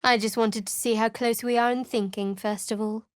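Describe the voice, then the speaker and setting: An actress playing a guarded, devout young cleric of a dark goddess in a fantasy video game, with a dry, wry, measured delivery. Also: female voice, on the high side, steady tone, no echo, lightly acted. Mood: wry, appraising.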